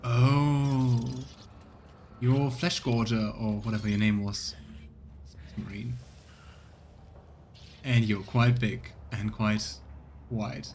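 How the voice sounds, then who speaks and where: A man's voice: medium pitch, steady tone, takes it slowly, long pauses, darkish tone, a little hiss or hum. A man streaming a let's play, reading out the game's narration and adding his own casual commentary. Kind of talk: game commentary and narrated reading of on-screen text.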